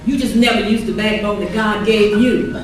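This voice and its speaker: A woman's voice: mid-range voice, fairly even intonation, normal speed, close to an everyday voice. An actress playing a woman in an emotional stage drama about marriage, earnest and emphatic. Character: women in emotional confrontation over abusive marriage. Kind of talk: live stage play acting. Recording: echoey stage hall, distant mic, music behind.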